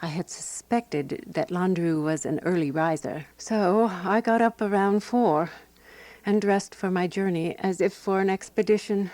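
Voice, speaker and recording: An actress playing a composed, wry woman sleuth narrating a radio mystery in measured, scripted storytelling. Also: fairly low pitch, dark tone, dry recording, lightly acted.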